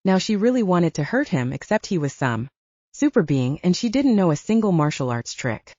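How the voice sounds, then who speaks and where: A woman reads fiction as an audiobook narrator, her voice mellow and a little low. Her intonation swings expressively through the story. The sound is dry and slightly dull. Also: very animated, normal speed, dry recording.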